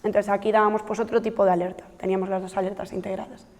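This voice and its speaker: A woman's voice: mid-range voice, flat delivery, rapid-fire, darkish tone. A woman from explaining a technical project to trainees, with frequent fillers and self-corrections. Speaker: researcher presenting a project. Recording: long hall reverb.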